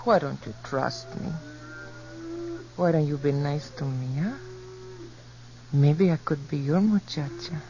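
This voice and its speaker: A woman's voice: deep voice, slow pace, long pauses, dark tone, a little hiss or hum. An actress playing a fiery, coaxing outlaw's girl in a 1950s radio western, pleading and petulant. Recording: muffled 1950s radio broadcast.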